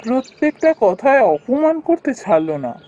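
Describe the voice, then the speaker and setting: A man's voice: extremely high pitch, sing-song, moderate pace, mellow. Man playing a subdued, poor village husband, muffled audio. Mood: hurt. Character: poor, humble, weary younger village brother. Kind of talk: cartoon voice acting.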